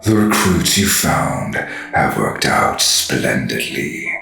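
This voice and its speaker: A man playing a smug, menacing mastermind villain in an audio drama, condescending and theatrical, with a gravelly voice. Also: very deep voice, very animated, slow pace, bright, forceful tone, full character voice. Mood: smug, pleased. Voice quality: heavy gravelly fry. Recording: roomy echo, faint music behind.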